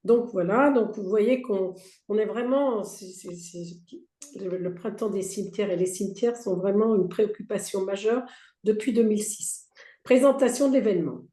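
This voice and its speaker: Hoarse woman presenting slides. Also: medium pitch, normal speed, mellow. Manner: reads out slide points with frequent fillers and restarts. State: hoarse, losing her voice. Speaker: presenter for a heritage federation. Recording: roomy echo.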